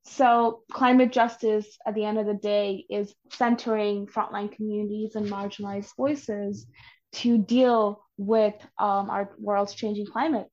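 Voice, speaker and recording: A woman's voice: mid-range voice, little pitch movement, unhurried, a little mellow. A young woman in her twenties from Bangladesh, a climate organizer explaining her views earnestly as a podcast guest. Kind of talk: podcast interview. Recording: dull lo-fi audio.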